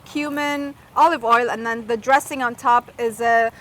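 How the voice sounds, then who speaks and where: A woman's voice: fairly high pitch, fairly even intonation, a bit bright. Enthusiastic woman hosting a cooking show. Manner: enthusiastic, quick praise of the food. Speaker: host. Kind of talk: casual cooking chat. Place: outdoors at a villa table.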